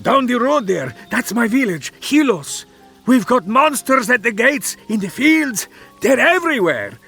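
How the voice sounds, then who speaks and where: An actor playing a frantic farmer in a video game, exclaiming with great urgency. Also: male voice, very high voice, very animated, unhurried, creaky, sharp tone, soft background music, heavily acted. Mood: frantic, pleading. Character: frantic farmer in a besieged ancient village. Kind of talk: video game character dialogue.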